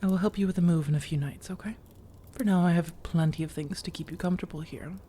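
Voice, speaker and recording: Mellow, soft-spoken flirtatious vampire woman, acted out by a woman. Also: lowish voice, slight background noise, close to an everyday voice. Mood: coy, teasing. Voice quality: soft, breathy delivery slipping into whispers. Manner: teasing, measured, intimate, with deliberate pauses. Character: flirtatious, coaxing female vampire seeking a roommate. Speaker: audio roleplay performer. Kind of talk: soft-spoken ASMR roleplay.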